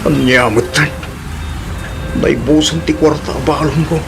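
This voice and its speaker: A man's voice: on the high side, steady tone, unhurried, gravelly, music in the background. A man playing a shifty, brazen grown son who demands money, in an Ilocano radio drama. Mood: dismissive, low.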